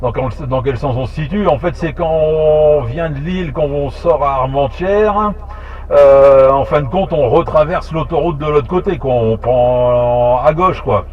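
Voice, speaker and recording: A man's voice: a little high, slight background noise. Man on a muffled two-way radio. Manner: hesitant, halting, repeats words. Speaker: amateur radio operator. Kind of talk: casual radio chat. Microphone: two-way radio transmission.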